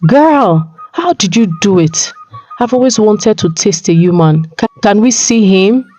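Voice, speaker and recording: A woman's voice: medium pitch, animated, measured pace, dark tone, a little hiss or hum, pitched above the performer's own voice, lightly acted. A woman voicing a sassy, gossipy young mermaid friend, eager and curious, in a storybook tale. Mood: shocked, excited. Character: nosy, excitable young mermaid girlfriends.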